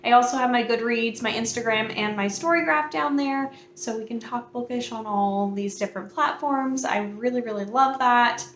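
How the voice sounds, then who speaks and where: A woman hosting her book channel, chatting casually and excitedly to camera, a bit hoarse from a cold, with plenty of fillers. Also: a little high, normal speed, few pauses, reverberant, slight background noise. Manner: bubbly, chatty, many fillers.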